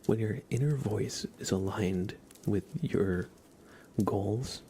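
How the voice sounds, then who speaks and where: A man hosting an ASMR livestream, talking softly and intimately to his chat and often slipping into whispers, close to the microphone. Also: fairly low pitch, slight background noise. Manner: gentle, drawn-out delivery slipping into whispers.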